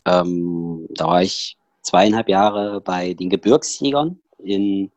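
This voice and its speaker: A man's voice: deep voice, takes it slowly, darkish tone, dry recording. A man with a Saxon accent, a podcast guest talking casually over a headset, rambling with many fillers and restarts. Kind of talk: casual personal interview talk.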